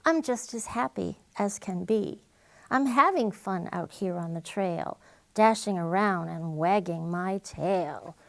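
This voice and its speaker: A woman's voice: fairly low pitch, animated, takes it slowly, vocal fry, heavily acted. A woman voicing a cheerful growly dog. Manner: friendly, with a growly edge. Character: cheerful, misunderstood young male dog.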